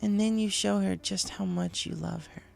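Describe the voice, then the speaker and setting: A performer voicing a calm, caring female pony who advises a friend in a story. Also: medium pitch, moderate pace, creaky, soft background music, voice pushed up. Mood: advising.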